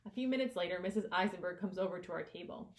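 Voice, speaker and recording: A woman reading a middle grade novel aloud as its author, with animated, expressive delivery that acts out the narrator's reactions. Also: fairly low pitch, fast.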